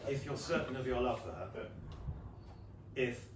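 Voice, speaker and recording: A man's voice: medium pitch, expressive, a little slow, natural voice. A man acting a kindly, reassuring period character in a staged play reading, formal and hesitant. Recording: distant, echoing theatre, loud noise.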